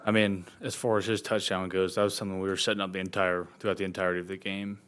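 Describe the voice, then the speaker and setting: Low-voiced young male college quarterback. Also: level tone, very fast, fairly bright tone, clean tone. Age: 20s. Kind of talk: postgame press conference answers. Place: press conference table indoors.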